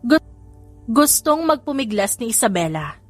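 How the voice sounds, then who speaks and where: A woman's voice: on the high side, sing-song, unhurried, soft background music. A woman narrating a story in a dramatic, scripted audiobook style.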